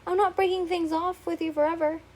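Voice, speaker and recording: A woman's voice: very high voice, level tone, fairly quick, darkish tone, voice pushed up. A woman voicing a young woman who speaks in a firm, insistent way. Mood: reasoning.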